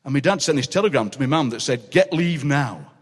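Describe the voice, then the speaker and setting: An older man with a Yorkshire accent, a guest poet telling anecdotes on a stage with quick comic impressions and frequent laughter. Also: medium pitch, expressive, husky. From South Yorkshire, England. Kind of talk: live stage chat, storytelling. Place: large city hall on stage. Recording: long reverb of a large hall.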